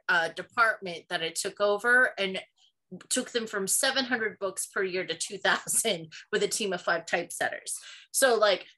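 A woman's voice: medium pitch, pushed and bright, low-quality recording. A woman bantering casually as a podcast co-host, playful and self-mocking.

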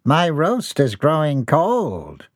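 A man voicing a scheming uncle with a smooth, condescending manner. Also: a little high, measured pace, dry recording, clean studio sound, pitched above the performer's own voice, lightly acted.

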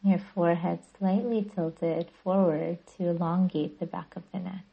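A woman guiding a meditation as a podcast host, speaking gently and deliberately in a soothing, breathy voice. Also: lowish voice, fairly even intonation, dull audio. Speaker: yoga nidra guide and podcast host. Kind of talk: guided meditation narration.

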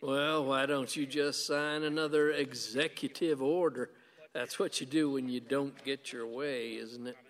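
An actor in a radio play voicing a defiant, gun-owning American husband with a combative, sardonic manner. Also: male voice, medium pitch, fairly even intonation, measured pace, a bit bright, close to an everyday voice. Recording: long hall reverb.

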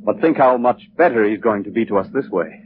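An actor playing a cold, self-assured, scheming killer husband, clipped and commanding, in 1940s radio style. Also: male voice, lowish voice, lively intonation, fairly quick, natural voice. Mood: smug calm. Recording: noisy, muffled 1940s radio recording.

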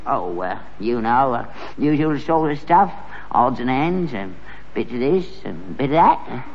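A man's voice: mid-range voice, big pitch swings, a little slow, big-room sound, lo-fi sound. An actor playing a wily old junkman father in a vintage British sitcom, with a London accent and a sly, hesitant way of talking. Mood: evasive. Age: older. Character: wily old father, a rag-and-bone man, needling his son.

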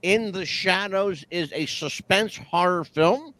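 A man's voice: high voice, takes it slowly, pushed and bright, no echo. A man in his sixties hosting a casual wrestling chat show, rambling and swearing freely as he talks. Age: 60s. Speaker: podcast host.

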